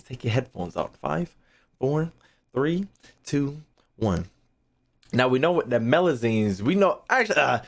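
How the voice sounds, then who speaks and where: A young man talks to his stream with lively, swinging intonation at a medium pitch. He is slangy and profane, often circling back over the same phrase while he thinks out loud. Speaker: streamer reacting to game voice lines. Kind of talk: casual live-stream commentary.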